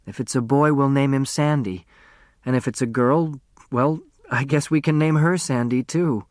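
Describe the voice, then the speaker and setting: A man voicing a loyal woman lawyer in an audiobook, matter-of-fact and gently reassuring. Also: mid-range voice, normal speed, no echo, clean studio sound, lightly acted. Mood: tender.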